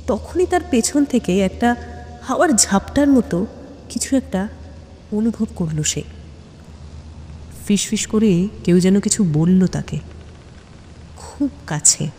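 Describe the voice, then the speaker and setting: A woman narrates a suspense story dramatically, with deliberate phrasing and weighty pauses. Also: fairly high pitch, a little mellow, a little hiss or hum. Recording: long hall-like reverb on voice.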